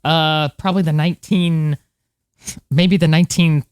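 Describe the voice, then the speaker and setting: A woman's voice: low voice, fairly even intonation, normal speed, darkish tone, smooth, no room sound, studio quality. Informal, rambling American woman podcaster. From United States. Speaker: podcast co-host. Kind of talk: casual chat.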